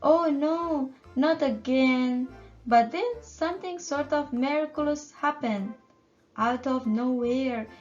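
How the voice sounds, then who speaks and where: High-pitched woman reading a children's story. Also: a little mellow. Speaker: children's story time reader and channel host. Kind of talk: expressive picture book read-aloud for children. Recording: muffled audio under music. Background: music.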